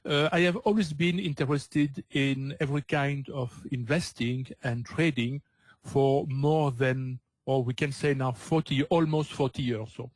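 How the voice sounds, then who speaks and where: A man's voice: medium pitch, dry recording. Older belgian guy, french accent, lots of uhs, dry close mic on a webinar. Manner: hesitant, frequent uh fillers, non-native phrasing. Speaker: trading coach presenting a webinar. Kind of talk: webinar presentation.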